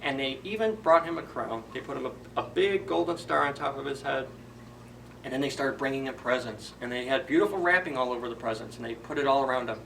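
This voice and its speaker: A man's voice: medium pitch, fairly quick, vocal fry, fairly bright tone, recorded from a distance, a little hiss or hum, rough recording. A man retelling a story for children as a library storyteller, informally, in simple phrases with questions to the listeners.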